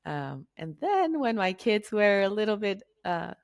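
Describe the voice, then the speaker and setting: A woman's voice: mid-range voice, sing-song, measured pace, vocal fry. A woman in her forties from Argentina, a chatty workshop facilitator telling her life story in an interview. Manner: chatty, jokey and laughing easily. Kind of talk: conversational interview.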